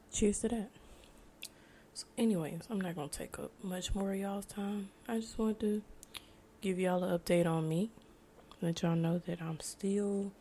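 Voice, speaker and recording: A young American woman with a dark, mellow voice sitting a little low. She talks casually and reflectively, as a podcast host chatting alone to listeners. There is some noise behind her. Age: 20s. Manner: informal, reflective, conversational. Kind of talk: casual solo podcast monologue. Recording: noticeable background noise.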